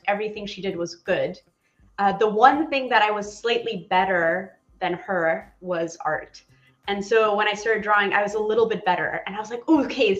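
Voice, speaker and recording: A woman's voice: mid-range voice, fairly bright tone, roomy sound, dull audio. A woman in her forties from Poland, chatting animatedly as an interview guest, with many fillers. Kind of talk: casual interview chat.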